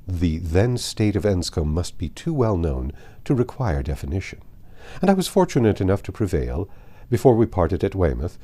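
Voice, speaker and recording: A man narrating a classic novel aloud as an audiobook reader, in a measured, formal and expressive way with stressed phrases. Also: low-pitched.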